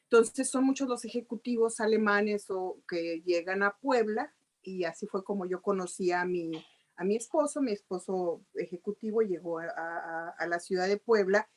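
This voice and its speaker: A woman's voice: mid-range voice, normal speed. Chatty Mexican woman guest. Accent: Mexican Spanish. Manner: chatty, explanatory. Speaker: guest journalist. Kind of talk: interview answers.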